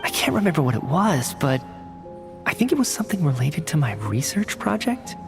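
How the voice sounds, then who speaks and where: An actor playing an earnest, analytical researcher in a video game, articulate and inquisitive, reasoning methodically. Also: male voice, on the high side, sing-song, fairly quick. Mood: thoughtful. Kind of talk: video game dialogue acting. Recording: studio voice under clear game music. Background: background music.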